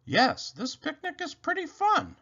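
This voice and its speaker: A man voicing an excitable, fussy rabbit who panics easily, whiny and dramatic, for a children's storybook. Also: very high-pitched, a little slow, dull audio, pitched above the performer's own voice, full character voice. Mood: cheerful, eager.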